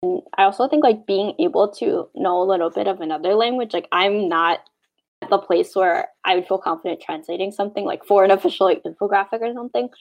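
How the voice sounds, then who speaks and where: A woman's voice: medium pitch, fast, lo-fi sound. A young woman in casual podcast chat, thoughtful and full of "like" fillers.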